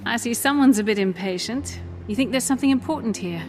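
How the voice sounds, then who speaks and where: A woman's voice: a little high, fast, darkish tone, faint music behind, lightly acted. Composed woman as a female game hero. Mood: questioning. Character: composed, gently teasing female adventurer hero. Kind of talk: scripted video game dialogue.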